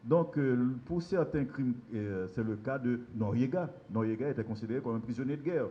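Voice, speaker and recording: A man's voice: medium pitch, moderate pace, highs cut off. A man giving a conference talk on law, hesitant, with many fillers and restarts. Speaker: legal conference speaker. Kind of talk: lecture on extradition law. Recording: very echoey hall, noisy.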